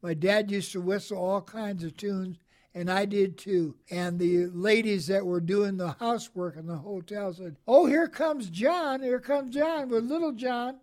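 High-voiced cheerful man in his eighties. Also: a little slow. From American Midwest. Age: 80s. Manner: cheerful, chuckling, gentle and soft-spoken. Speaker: Korean War veteran guest. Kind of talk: interview answers.

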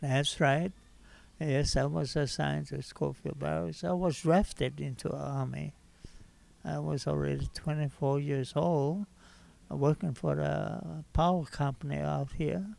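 A man's voice: on the high side, steady tone, measured pace, gravelly, a little mellow. A man in his nineties from Hawaii, an Army veteran reminiscing in an unscripted interview. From Hawaii, United States. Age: 90s. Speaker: war veteran, interview guest.

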